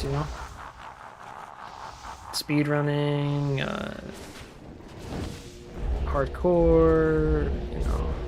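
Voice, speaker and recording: Gravelly-voiced man from Utah, rambling. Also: a little high. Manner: rambling, lots of fillers and restarts. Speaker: game streamer. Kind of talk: casual chat with viewers while gaming. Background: game music.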